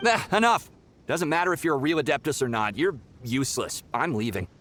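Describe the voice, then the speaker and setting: An excitable young male pilgrim from an anime game, with a high voice and lively, theatrical swings. He sounds impatient and petulant, over slight background noise. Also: fairly quick. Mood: scornful. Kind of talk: anime-style video game acting.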